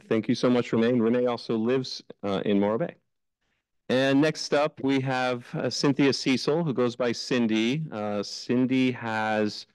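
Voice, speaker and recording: Male city staffer, clear resonant voice, dry close mic, friendly introductions with pauses. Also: medium pitch, dry recording, low-quality recording. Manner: friendly, hesitant with fillers. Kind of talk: introducing new hires at a council meeting. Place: council chambers.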